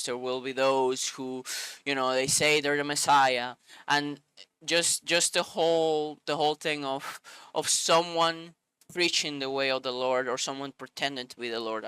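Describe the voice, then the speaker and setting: A sniffly man with a bright, crisp voice reads scripture and then shares his thoughts in an earnest, loose way, often stopping to find the right words. The sound is close and dry, with no room around it. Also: mid-range voice, no echo. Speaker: Bible video host. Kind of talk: scripture reading then casual reflection.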